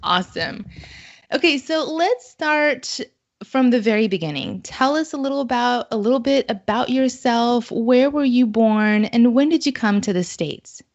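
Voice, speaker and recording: A woman's voice: fairly high pitch, lively intonation, moderate pace, highs cut off. A woman hosting a podcast interview, speaking with enthusiastic, effusive praise. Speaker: podcast host.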